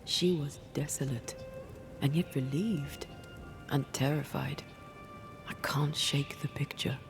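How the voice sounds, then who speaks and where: Slow, hushed, creaky-voiced grieving woman ghost hunter. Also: sits low, fairly even intonation. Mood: troubled, tender. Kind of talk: dramatic video game cutscene acting. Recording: music under the voice.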